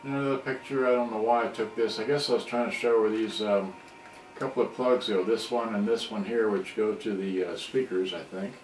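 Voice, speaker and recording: Low-voiced rambling man in a roomy recording. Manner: rambling, halting. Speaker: electronics repair hobbyist presenting. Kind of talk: casual off-the-cuff commentary over photos. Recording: roomy, distant mic, faint noise.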